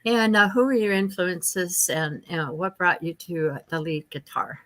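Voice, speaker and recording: A woman's voice: on the low side, steady tone, normal speed, fairly bright tone. A woman interviewing a guest over a video call, asking her questions in a loose, hesitant way. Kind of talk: casual interview.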